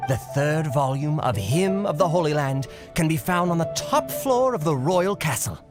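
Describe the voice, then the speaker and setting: A man voicing a reverent, flattering subject of a fantasy kingdom in an anime game, speaking in florid, deferential phrases over music. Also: a little high, moderate pace, natural voice. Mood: proud. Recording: music behind the voice.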